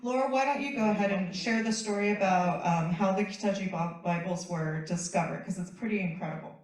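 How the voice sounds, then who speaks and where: A woman's voice: medium pitch, fairly quick, a little mellow. A woman moderator politely redirecting the discussion and inviting a panelist to speak. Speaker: event moderator. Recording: distant voice in a very echoey hall.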